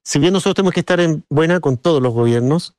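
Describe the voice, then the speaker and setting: A man's voice: fairly high pitch, quick pace, darkish tone, dry recording, studio quality. A man from Chile with a Chilean accent, answering in an interview in an earnest, colloquial, anecdotal way. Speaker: interview guest, businessman and board member of a business council.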